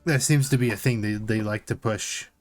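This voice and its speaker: A man's voice: fairly low pitch, normal speed, soft background music. A man co-hosting a casual tabletop gaming discussion, informally reading out notes and commenting on them. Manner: informal, reads notes aloud then comments. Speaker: podcast co-host.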